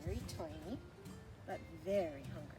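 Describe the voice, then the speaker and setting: A woman reading a children's story aloud as a naturalist, with simple expressive phrasing and asides that put questions to young listeners. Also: low-pitched, normal speed, music in the background. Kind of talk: children's story time read-aloud with show-and-tell asides.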